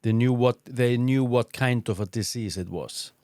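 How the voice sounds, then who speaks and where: Measured male podcast host. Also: mid-range voice, a little mellow, no room sound, studio quality. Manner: measured, with frequent listening murmurs. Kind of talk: interview hosting.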